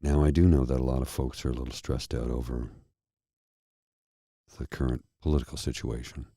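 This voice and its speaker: Deep, dark-voiced man speaking earnestly. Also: steady tone, breathy edge, no room sound, studio quality. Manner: slow, earnest, heartfelt. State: tired and a little froggy-throated. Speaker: host. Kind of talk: candid personal talk to viewers.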